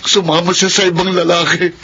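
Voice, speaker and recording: A man's voice: high voice, level tone, a little fast, sharp tone, husky, exaggerated voice. An actor playing an aggrieved, hot-tempered man with intense, melodramatic delivery in a Filipino radio drama. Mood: anguished, bitter. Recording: muffled, noisy radio drama audio.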